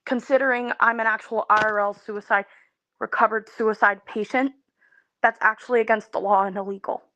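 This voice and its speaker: Weary young woman venting, halting. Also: on the high side, steady tone, sharp tone, no room sound, rough recording. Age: 20s. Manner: halting, weary, with many pauses and restarts. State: emotionally tired. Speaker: content creator. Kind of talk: personal vent video.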